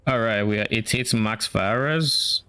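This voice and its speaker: A man's voice: lowish voice, unhurried, bright, forceful tone, clean tone. A man from Nigeria with a mixed Nigerian and Canadian accent, thinking aloud in casual chat on a live game stream. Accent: mix of Nigerian and Canadian. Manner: thinking aloud, musing and self-questioning.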